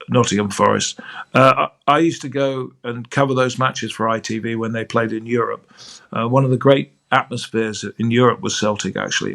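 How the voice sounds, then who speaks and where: A man's voice: medium pitch, creaky, fairly bright tone. An older man, a veteran sports broadcaster, telling fond anecdotes in a chatty interview, often restarting his sentences.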